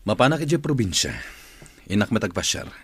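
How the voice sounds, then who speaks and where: An actor playing an earnest, insistent boss in an Ilocano radio drama, firm and deliberate, with a husky voice. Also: male voice, lowish voice, sing-song, no echo, clean studio sound, heavily acted. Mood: firm, curt. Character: earnest, insistent male boss, commanding yet courting.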